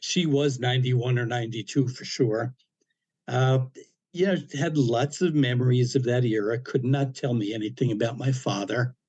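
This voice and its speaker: An older man around seventy talks through a personal story as a podcast guest, unhurried and anecdotal. His voice is warm and mellow, pitched in the middle range, with dry humor and plenty of "you know" fillers. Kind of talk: casual storytelling interview.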